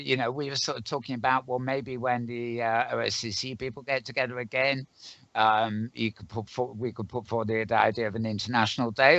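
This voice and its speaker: A man hosting a casual online panel discussion, rambling and halting as he thinks aloud. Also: medium pitch, a bit bright, no echo. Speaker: host. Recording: dull, lo-fi online voice call.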